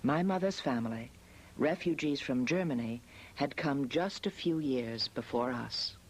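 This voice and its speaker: A woman from the United States narrating a scripted personal documentary as a photographer, in a measured, reflective manner. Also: very deep voice, husky. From United States, after early childhood in Australia. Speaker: photographer narrating her family history.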